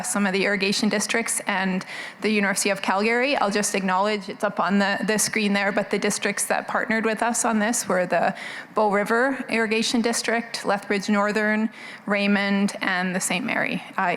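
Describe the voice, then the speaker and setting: Woman, 40s, quiet presenter voice, casual conference talk, mid-height pitch, reverberant hall sound. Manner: soft-spoken, conversational, frequent ums and restarts. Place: conference meeting room, indoors. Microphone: podium mic on a stand.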